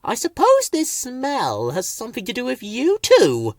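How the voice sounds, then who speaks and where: A man's voice: very high voice, huge pitch swings, a little slow, a little mellow, dry recording, studio quality, pitched above the performer's own voice, heavily acted. A man voicing a sour, disdainful talking thistle with a sneering manner in a children's story.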